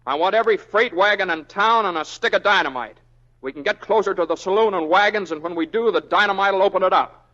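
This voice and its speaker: An actor playing a hesitant, folksy western lawman in 1940s radio style, speaking in halting phrases. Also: sits high, pushed and bright. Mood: urgent, commanding. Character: mild, hesitant western lawman turning grimly determined. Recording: dull old radio recording.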